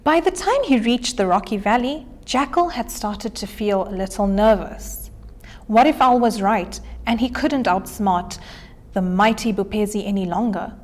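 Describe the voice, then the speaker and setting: A woman's voice: mid-range voice, moderate pace. A woman reading a children's storybook aloud. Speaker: story reader.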